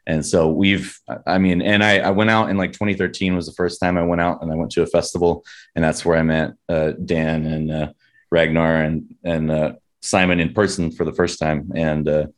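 A man's voice: deep voice, little pitch movement, moderate pace. A man with a Californian accent telling stories casually in an informal, rambling, slangy way. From San Diego, United States. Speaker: metal band vocalist, interview guest. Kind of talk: casual chat, storytelling.

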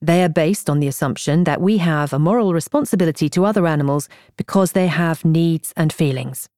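A woman reading a nonfiction audiobook in a measured, articulate way, as a scripted narrator. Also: low-pitched, mellow, no room sound, studio quality.

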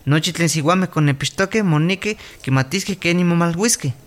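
A man's voice: a little high, moderate pace, close to an everyday voice. An actor playing a patient male doctor advising village mothers in a scripted radio drama. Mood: patient, instructive.

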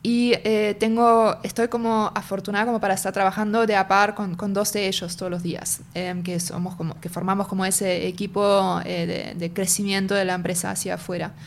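Young woman talking in an interview, in her spanish, explains things slowly, keeps hunting for the right word. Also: mid-range voice, level tone. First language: German. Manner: explanatory, searches for words as she goes. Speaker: marketing and sales lead, interview guest. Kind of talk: casual interview conversation.

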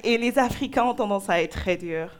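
A young woman from Nigeria, preaching and giving a personal testimony in short phrases with pauses for an interpreter. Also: mid-range voice. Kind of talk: sermon in French.

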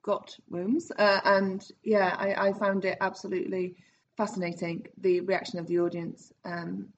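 A woman's voice: mid-range voice, level tone, unhurried, lo-fi sound, a little hiss or hum. A woman in her late thirties, a cast member, talking enthusiastically and effusively in a rehearsal room. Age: 30s. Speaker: actor in the cast. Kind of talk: casual group interview.

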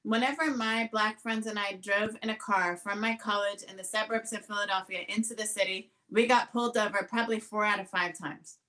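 A middle-aged woman, raised in the Netherlands, telling stories in an energetic, emphatic way as an interview guest. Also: mid-range voice, a little fast, hardly any pauses, poor audio. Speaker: educator and guest. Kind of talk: conversational interview answers.

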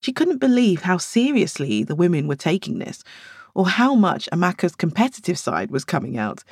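A woman's voice: on the low side, sing-song, normal speed, a little mellow, husky, no echo, clean studio sound. A woman reading scripted fiction as an audiobook narrator.

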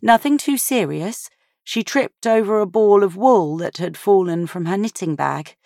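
Audiobook role: kind granddaughter, acted by a female narrator, lighter high register, courteous, composed, earnest. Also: lively intonation, a little slow, gravelly, mellow, dry recording, studio quality. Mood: reassuring. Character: kind, calm, expressive woman, a caring granddaughter.

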